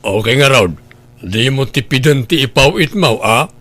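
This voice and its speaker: Scheming, demanding husband male actor, middle-pitched voice, pushy lecturing radio drama delivery. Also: animated, moderate pace, sharp tone, faint music behind. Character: Lando, pushy scheming husband, demanding money from brother-in-law. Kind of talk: Ilocano radio drama acting.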